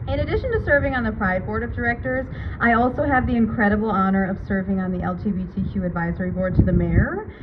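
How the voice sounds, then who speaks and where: Female ceremony host, fairly high voice, formal speech; phone-like sound with clear background noise. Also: fast talker, few pauses. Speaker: master of ceremonies. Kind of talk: formal event introductions and remarks. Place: outdoor public ceremony at a city hall. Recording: thin phone-like public-address sound, noisy.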